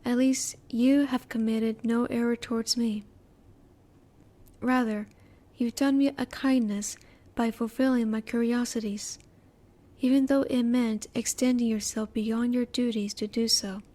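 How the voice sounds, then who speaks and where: A woman voicing a curious, reserved female alien scientist in a roleplay audio, speaking politely in formal, measured, halting phrases. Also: on the high side, steady tone, mellow, lightly acted. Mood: calm reassurance. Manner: formal, measured, precise wording with halting pauses. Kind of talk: scripted roleplay audio, spoken intimately to the listener.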